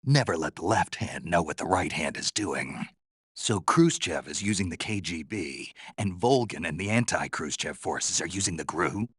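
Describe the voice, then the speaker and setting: A man playing a gruff, terse American soldier on a covert mission in a video game, with a low, gravelly, husky voice. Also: lively intonation, fairly bright tone, no echo, exaggerated voice. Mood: matter-of-fact, instructive. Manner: terse, deliberate, dry. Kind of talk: dramatic game voice acting.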